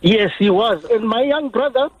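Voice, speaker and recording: A man's voice: very high voice, normal speed, no room sound. A man, calling in to a radio phone-in and speaking earnestly and insistently. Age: 40s. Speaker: caller. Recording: dull phone line.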